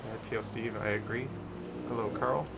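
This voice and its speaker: A man's voice: on the low side, fairly even intonation, moderate pace, creaky, very noisy. A man hosting a casual livestream, chatting informally and reading out viewer messages as he comments. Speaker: livestream host.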